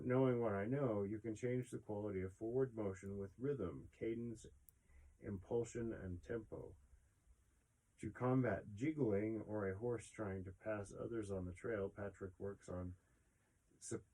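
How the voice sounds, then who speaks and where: A man's voice: lowish voice, fairly even intonation, a little slow, mellow, rough recording. A man hosting a podcast, reading an article aloud with casual asides and stumbling a little over words.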